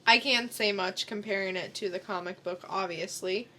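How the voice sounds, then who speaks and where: A woman's voice: mid-range voice, moderate pace, pushed and bright. A woman co-hosting a podcast, chatting informally and mostly agreeing with her partner. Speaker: podcast co-host. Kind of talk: casual chat.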